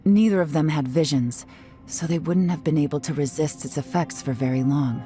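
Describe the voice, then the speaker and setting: An actress playing a composed, shrewd woman in a video game, speaking in a measured, cool, deliberate way. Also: low voice, dark tone, faint music behind, natural voice. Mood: grave, reflective. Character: composed, shrewd adult woman investigating her clan's past.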